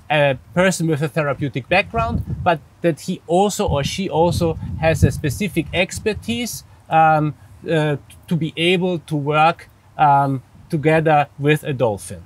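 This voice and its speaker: Hesitant, higher-pitched man with Austrian accent. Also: measured pace, low-quality recording. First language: German. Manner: hesitant, careful word-searching. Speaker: interview guest, psychologist and dolphin therapist. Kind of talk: explanatory interview answers.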